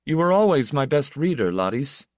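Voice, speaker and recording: A man's voice: medium pitch, sing-song, normal speed, darkish tone, dry recording, studio quality, pitched above the performer's own voice, natural voice. A man voicing an intense, melancholy younger brother, a proud poet who speaks in a restless, confessional, emphatic way. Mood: intense, earnest.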